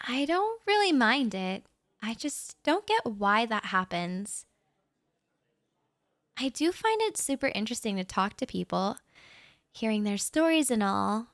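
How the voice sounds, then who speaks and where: A young woman with a slightly high voice talks in a slow, unhurried way, shy and rambling as she speaks softly to the listener. Nervous laughs slip in between her thoughts, and the sound is close and dry with no room around it. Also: no room sound. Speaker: audio roleplay performer. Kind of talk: intimate audio roleplay monologue to the listener.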